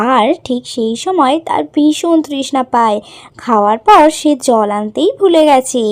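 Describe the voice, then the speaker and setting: A woman's voice: fairly high pitch, a little mellow. A woman narrating a scripted story, addressing young children directly as their storyteller.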